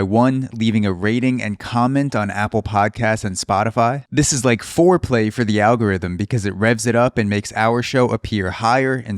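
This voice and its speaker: A man's voice: fairly low pitch, no room sound, clean studio sound. A man in his late twenties hosting a podcast, talking casually and groping for words. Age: 20s. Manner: casual, searching for words, many restarts. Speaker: podcast host. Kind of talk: casual interview and ad read.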